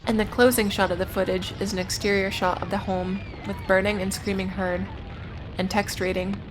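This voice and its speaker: A woman's voice: medium pitch, level tone, normal speed, background noise. A woman narrating a scripted video essay in an informal way, with casual, wry asides amid plain recapping. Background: music.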